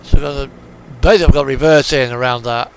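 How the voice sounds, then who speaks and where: A man's voice: medium pitch, steady tone, quick pace. A man giving casual commentary on a truck driving game, musing aloud as he thinks through each step, with engine sound behind him. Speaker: gamer narrating a truck driving simulator. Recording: clear engine and vehicle noise. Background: truck engine and reversing beeps from a game.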